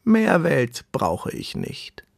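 A man voicing a calm, content female tortoise who speaks slowly and placidly in a children's bedtime story. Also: on the high side, creaky, dry recording, studio quality, voice pushed up, heavily acted. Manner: slow, drawn-out, placid.

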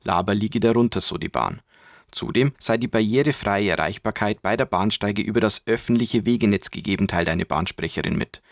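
A man's voice: fairly low pitch, fairly quick, no room sound, clean studio sound. A man narrating a scripted radio news report as a reporter.

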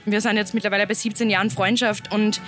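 A young woman from Upper Austria with an Upper Austrian accent, speaking as a musician guest in a relaxed interview, chatty and loose. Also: medium pitch, fast, faint music behind. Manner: chatty, loose, laughs between thoughts.

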